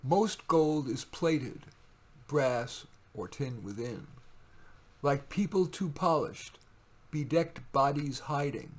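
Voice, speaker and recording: Male author reading own verse. Unhurried, rough-edged voice, deliberate and wry. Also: a little high, expressive. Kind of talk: poetry reading with informal asides.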